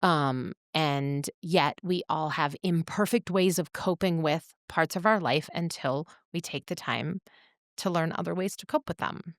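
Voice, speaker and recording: A woman co-hosting a podcast, giving reflective commentary in a measured, thoughtful, coach-like way. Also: deep voice, no room sound, studio quality.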